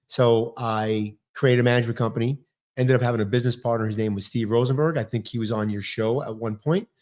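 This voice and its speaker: A man in his fifties with a New York accent, talking fast as a guest on a podcast. His voice sits at an ordinary middle pitch, and he is energetic and articulate. His talk is casual and full of drive. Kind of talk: casual interview chat.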